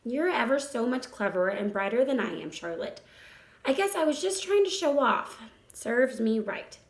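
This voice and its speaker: A woman voicing an eager, boastful young male pig from a storybook, excitable and naive. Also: on the high side, moderate pace. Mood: sheepish, sad. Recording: roomy echo.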